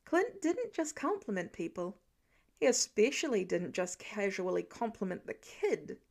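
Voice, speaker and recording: A woman reading fan fiction aloud as an expressive, wry storyteller. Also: medium pitch, measured pace, vocal fry. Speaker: amateur audiobook narrator. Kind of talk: scripted fiction narration.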